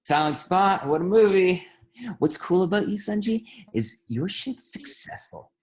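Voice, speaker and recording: A man's voice: sits high, lively intonation, unhurried, a little mellow. A man playing a friendly, cocksure young roommate who earnestly spouts conspiracy theories in a comedy table read, slangy and boastful. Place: at home, remote table read.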